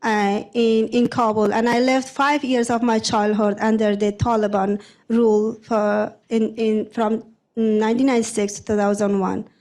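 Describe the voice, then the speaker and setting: A young adult woman from Afghanistan answers questions on a discussion panel. Her voice sits somewhat high, and her phrases come in halting bursts full of restarts and repeated words. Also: little pitch movement, darkish tone. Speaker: panel guest, former prosecutor.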